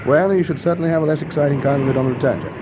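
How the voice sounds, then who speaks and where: An actor playing a composed British naval captain in a radio drama, with a clipped, authoritative and courteous manner. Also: male voice, a little high, steady tone, racing, noisy background, natural voice. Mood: steady, instructive.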